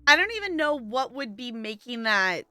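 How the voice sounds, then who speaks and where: A woman's voice: a little high, sing-song, normal speed, pushed and bright, clean tone, no room sound, soft background music. A woman hosting a livestream, chatting casually with viewers and thinking aloud in a rambling way. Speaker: livestream host. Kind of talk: casual chat with live stream viewers.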